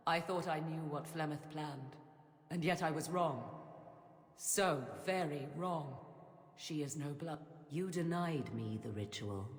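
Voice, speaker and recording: Casting: guarded, imperious witch for fantasy game. Slow, dramatically varied delivery, archaic diction, cutting edge, roomy echo. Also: sits low. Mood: grave, foreboding. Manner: formal archaic phrasing, theatrical and cutting. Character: proud, guarded witch, sharp-tongued and ominous.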